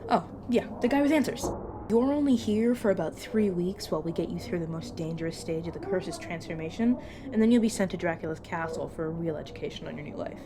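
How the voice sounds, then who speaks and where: A woman playing a brisk, casual captor explaining things wryly and patiently in an audio roleplay, talking straight to the listener. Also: lowish voice, background noise, natural voice. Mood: wry, matter-of-fact. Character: brisk, casual female magic-wielding captor and guide. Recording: loud sound effects and ambience behind.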